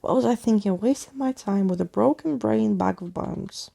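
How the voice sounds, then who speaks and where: A woman acts out a mischievous storybook girl with a short temper. She is bossy and sneering, snapping insults in a creaky, slightly husky voice. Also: mid-range voice, moderate pace, dark tone. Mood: exasperated.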